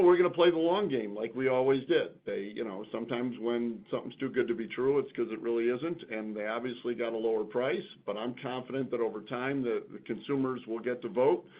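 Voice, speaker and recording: A man, a company executive, speaking off the cuff on an earnings call in a folksy, confident, emphatic way. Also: medium pitch. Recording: tinny phone-like call line.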